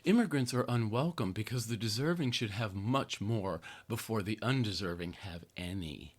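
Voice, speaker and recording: An American man reading an opinion essay aloud as a podcast host, with an emphatic, theatrical, sardonic delivery and drawn-out stressed phrases. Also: fairly low pitch, lively intonation.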